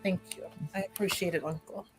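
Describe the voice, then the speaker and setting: A woman playing a reserved, thoughtful noble niece in a tabletop fantasy roleplay, with hesitant, deliberate phrasing. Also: lowish voice, steady tone, soft background music, lightly acted. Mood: polite, grateful.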